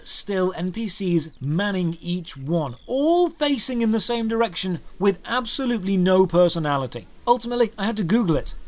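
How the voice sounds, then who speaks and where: A man delivering scripted comedic review narration in a sardonic, deadpan manner into a close microphone. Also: sits high. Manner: sardonic, dry deadpan humour. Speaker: video game reviewer, host. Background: clear background music.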